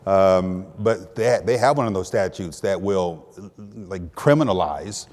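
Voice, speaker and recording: Male panelist from Texas, lowish voice, halting delivery with restarts, reverberant hall. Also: darkish tone. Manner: halting, repeats words, many fillers. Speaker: panel guest, attorney general. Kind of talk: panel discussion.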